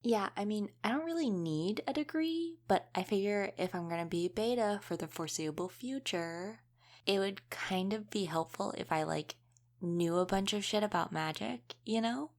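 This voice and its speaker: A woman's voice: medium pitch, lively intonation, normal speed, natural voice. A woman playing an easygoing young female wolf shifter in a casual audio roleplay, chatting and explaining things informally. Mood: chatty, informative.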